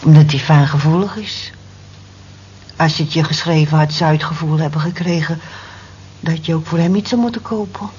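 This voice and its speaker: An actress plays a practical, motherly workmate who is blunt but coaxing. Her voice is fairly low for a woman. The radio drama recording is muffled, with slight noise. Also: a little fast, darkish tone, lightly acted. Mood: matter-of-fact. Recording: muffled, noisy old radio play recording.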